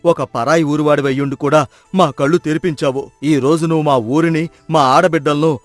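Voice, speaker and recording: A man's voice: a little high, fairly even intonation, normal speed, dry recording, faint music behind, lightly acted. A man playing a domineering village elder in a dramatised moral story, with an emphatic delivery. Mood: remorseful.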